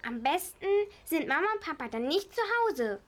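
Youngest sister, kindergarten age female performer, extremely high-pitched, innocent, children's audio drama. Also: very animated, moderate pace, a bit bright. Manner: innocent, matter-of-fact. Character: naive little kindergarten girl, youngest sister. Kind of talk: children's radio play acting.

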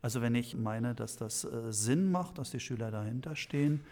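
A man speaks at a medium pitch as a teacher being interviewed. His answers come in short spontaneous pieces, recorded with a hall echo. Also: expressive, normal speed, a little mellow. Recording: echoey hall.

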